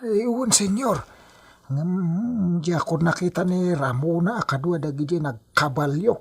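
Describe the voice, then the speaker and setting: A man playing a hesitant, respectful older farmhand with a husky voice in a radio drama. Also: high voice, measured pace, full character voice.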